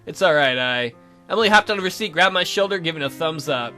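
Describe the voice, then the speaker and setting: A man's voice: on the high side, lively intonation, quick pace, sharp tone, faint music behind. A man reading a visual novel game aloud as a let's play host, with crude, irreverent asides.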